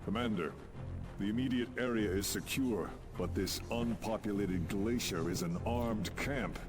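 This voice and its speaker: An actor playing a dutiful, battle-hardened space soldier in a video game, with formal military delivery. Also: male voice, on the low side, a little slow, natural voice. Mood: formal, puzzled. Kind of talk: scripted video game voice acting. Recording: music under the voice.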